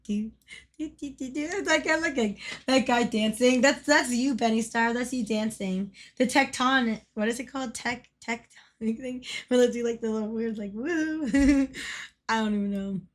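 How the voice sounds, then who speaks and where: A young adult woman streaming a game, chatting casually with a slightly hoarse voice, laughing often and rambling with lots of fillers. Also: on the high side, normal speed. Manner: giggly, rambling, filler-heavy. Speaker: livestreamer. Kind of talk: casual chat while gaming.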